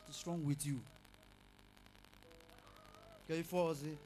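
A man's voice: on the high side, flat delivery, a little slow, mellow, a little hiss or hum, close to an everyday voice. A man playing a boastful young campus bully who threatens a woman.

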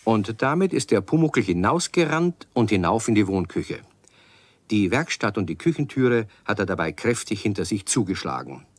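An actor narrating a children's radio play as a storyteller in scripted storybook style. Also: male voice, fairly low pitch, sing-song, moderate pace, slight background noise.

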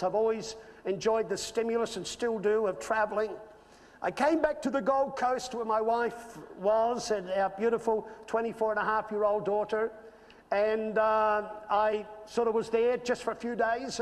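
High-voiced Australian man, pastor in his fifties, earnest sermon, long church reverb. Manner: earnest, building to emphatic peaks. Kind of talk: sermon with personal story. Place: church stage with screen.

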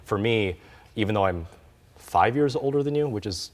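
A man's voice: on the low side, expressive, normal speed. A man around thirty, a tennis player, giving thoughtful, self-deprecating answers in a post-match interview in an indoor tennis hall. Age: 30s.

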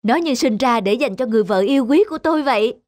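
A man voicing a gentle, devoted, simple-hearted rabbit husband in an animated fairy tale, earnest and tender. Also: extremely high pitch, fast talker. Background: music.

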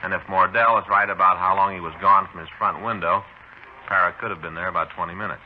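A man playing a practical, steady American police detective, matter-of-fact, in a 1950s radio drama. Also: low voice, quick pace, close to an everyday voice. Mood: thoughtful, practical. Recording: muffled 1950s radio recording, faint noise.

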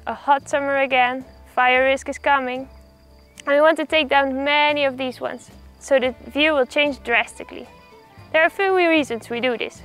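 Upbeat high-voiced woman with gravelly edge. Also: fairly bright tone. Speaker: vlog host. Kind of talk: narrated project vlog.

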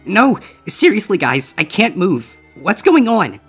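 A man's voice: sits high, very animated, a little slow, slightly rough, soft background music, pitched above the performer's own voice, heavily acted. A man voicing a brash, skeptical young male griffin with casual, exclamatory teenage speech in a storybook reading. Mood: panicked. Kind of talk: character voice in audiobook-style fan fiction reading.

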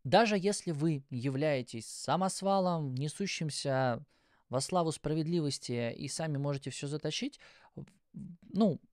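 A man's voice: fairly high pitch, moderate pace, darkish tone, no echo. A man in his thirties giving an explanatory tech presentation in a conversational way, with informal asides, speaking close to a studio microphone. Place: indoor studio with acoustic foam. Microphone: close microphone on a boom arm.

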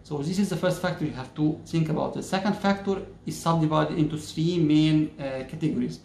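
A man in his thirties from Egypt, speaking English, explains a topic to camera in a measured way, pausing often on "uh". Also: fairly high pitch, creaky, a little mellow, reverberant, slight background noise. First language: Arabic. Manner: measured, many "uh" pauses, gestures with hands. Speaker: YouTuber. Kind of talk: casual explainer talking to camera. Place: plain-walled indoor room.

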